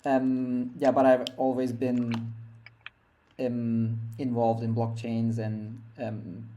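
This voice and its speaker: A man's voice: mid-range voice, monotone, slow pace, mellow, muffled recording, a little hiss or hum. A young man from Turkey, a guest on a podcast interview, speaking from home in a hesitant way with many fillers and restarts. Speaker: guest developer.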